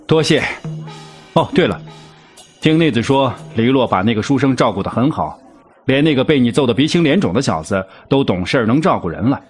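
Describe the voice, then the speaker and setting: A brash wolf king speaks in a mid-pitched, husky voice that swings widely. He is gruff, impatient and quick to scold, in costume audio drama style over faint music. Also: animated. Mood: frank, nagging. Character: brash, blunt wolf king, a grown man at his wedding.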